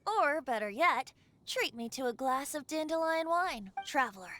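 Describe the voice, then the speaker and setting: A woman voicing a whimsical boyish male bard who is secretly a god, telling things in a measured, theatrical way in a video game. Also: high-pitched, lively intonation, bright, forceful tone, a little hiss or hum. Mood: playful, wistful. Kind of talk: video game cutscene voice acting. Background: game music.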